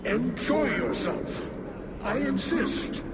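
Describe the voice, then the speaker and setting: An actor playing a boastful, genial old giant lord who speaks in a grand, formal, theatrical way for a video game. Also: male voice, very high voice, expressive, slow pace, creaky, heavy background noise, full character voice. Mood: firm, indulgent.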